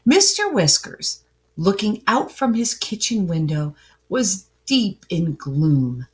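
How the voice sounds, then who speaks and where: A woman reading a children's picture book aloud as a storyteller, expressively and with deliberate phrasing. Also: medium pitch, big pitch swings.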